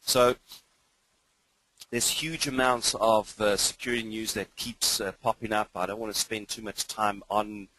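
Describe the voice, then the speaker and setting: A low-voiced man with an accent that blends British and South African into an international English. He presents a webinar informally and keenly, with frequent hesitations. The voice is rough-edged and the sound is very dry. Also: fairly even intonation, a little slow, bright, forceful tone, no room sound, rough recording. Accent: mixed international English, hints of British and South African. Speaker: cybersecurity trainer.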